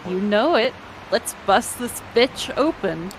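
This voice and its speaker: A woman voicing a synthetic imitation of a brash, cynical businesswoman in a visual novel, loud in delivery. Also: on the high side, expressive, slow pace, background noise, voice pushed up. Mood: brash, eager. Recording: loud music behind the voice.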